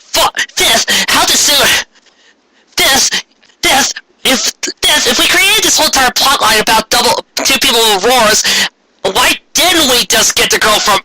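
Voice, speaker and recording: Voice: sits high, lively intonation, measured pace, noisy background, voice pushed up. A performer voicing an exasperated, nitpicking teenage girl heroine in a comic fan fiction reading.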